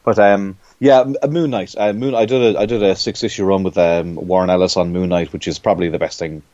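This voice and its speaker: A man's voice: low-pitched, steady tone, a little fast, darkish tone, no echo, muffled recording. A man with an Irish accent, a comic book creator chatting as a podcast guest and giving rambling explanations. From Ireland. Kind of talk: casual podcast interview.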